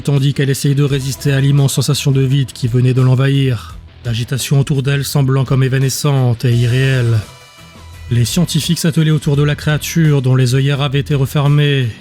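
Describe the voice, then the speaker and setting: A man's voice: medium pitch, dark tone. A man reading scripted dramatic narration for an audiobook. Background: music.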